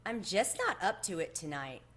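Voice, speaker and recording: A woman voicing a gentle, homesick male cricket in a storybook, earnest and wistful in manner. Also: fairly low pitch, sing-song, moderate pace. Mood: weary, wistful.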